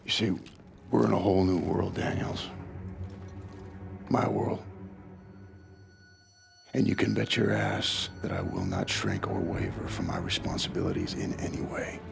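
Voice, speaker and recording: An actor playing a bitter, domineering American army sergeant in a war game, with a gravelly, menacing, clipped delivery. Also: male voice, deep voice, lively intonation, unhurried, pauses often, exaggerated voice. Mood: menacing, stern. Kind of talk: war game cutscene acting. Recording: dramatic music under voice.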